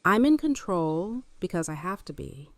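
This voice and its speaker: Lady playing tough housewife in audio drama, croaky gravel voice, dry and firm, keeps it controlled. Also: lowish voice, big pitch swings, normal speed, no echo. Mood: firm, guarded. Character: hardened, self-controlled housewife, a woman with steely resolve. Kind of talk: fan audio drama acting.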